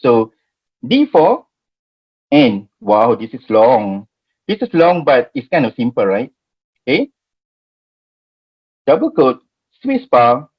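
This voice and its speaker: Lively Malaysian man teaching spreadsheets. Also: medium pitch, slow pace, pauses often, slightly rough, lo-fi sound. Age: 40s. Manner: chatty, checks in with "okay?" and "right?". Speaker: Excel trainer. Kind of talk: live screen-share spreadsheet tutorial.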